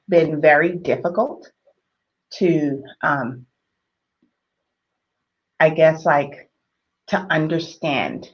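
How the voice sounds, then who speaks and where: A woman from the Bahamas co-hosting a marriage talk show, speaking thoughtfully and hesitantly with many pauses and fillers. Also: low voice, muffled recording. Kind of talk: conversational discussion.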